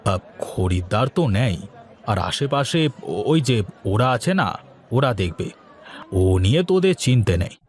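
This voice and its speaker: A man's voice: medium pitch, big pitch swings, a little slow, some chatter behind. A man playing a generous, practical middle-aged village shopkeeper in a moral-story cartoon, talking in a colloquial, avuncular way. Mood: easygoing.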